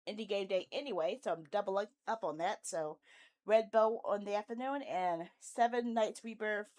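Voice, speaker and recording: A woman's voice: medium pitch, darkish tone. A woman hosting a casual gaming livestream, talking in a rambling, candid, grumbling way. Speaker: video game livestreamer, host.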